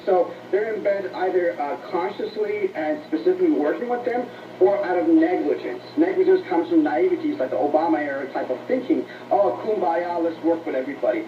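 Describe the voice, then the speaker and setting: A high-pitched man gives earnest, slightly halting interview answers. He sounds roomy and distant, as if played back over a dull radio. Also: a little hiss or hum. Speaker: interview guest, author.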